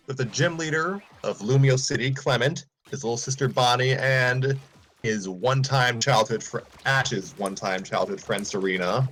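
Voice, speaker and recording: A man's voice: medium pitch, fairly bright tone. A man hosting a casual livestream, rambling and correcting himself with chuckling asides. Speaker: host.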